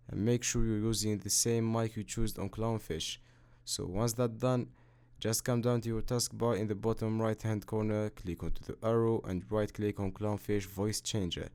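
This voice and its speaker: A man hosting a how-to video, narrating software steps in a matter-of-fact, instructional way. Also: lowish voice, smooth. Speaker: tutorial host. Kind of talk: scripted step-by-step software tutorial narration.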